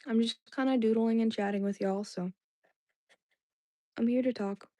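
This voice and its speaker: A woman's voice: a little high, steady tone, moderate pace, dark tone, dry recording. Young woman rambling to chat on her stream, lots of "like"s, dry close sound. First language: Indonesian. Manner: rambling, filler-heavy, many "like"s and restarts. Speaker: livestream host. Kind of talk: casual chat with live chat viewers.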